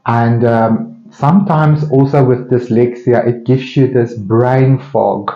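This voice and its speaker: A man with an Afrikaans South African accent talks casually as he works at his art. His delivery is slow and mellow, with pauses as he thinks aloud. The sound is roomy, as if in an indoor studio. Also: medium pitch, steady tone. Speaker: painter hosting an open studio session. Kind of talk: casual musing while painting. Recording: roomy, slightly dull sound.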